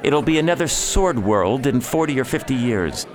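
A man's voice: mid-range voice, expressive, normal speed, lightly acted. A man playing a sociable, enthusiastic noble cousin in a radio drama, breezy and persuasive. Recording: faint crowd chatter behind.

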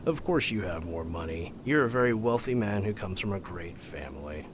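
Radio play villain: gloating, menacing male blackmailer played by a man, low voice, taunting delivery, faint rumble behind. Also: expressive. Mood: menacing, mocking. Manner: taunting, deliberate. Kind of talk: radio drama acting.

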